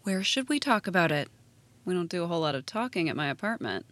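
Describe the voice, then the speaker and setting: An actress playing a jaded, sarcastic high school teacher, an American woman with a blunt, deadpan manner, in a naturalistic audio drama. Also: quick pace. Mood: needling.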